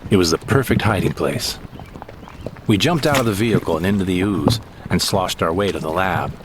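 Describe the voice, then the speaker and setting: A man narrating a first-person audio drama in a wry, unhurried manner. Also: low-pitched, background noise.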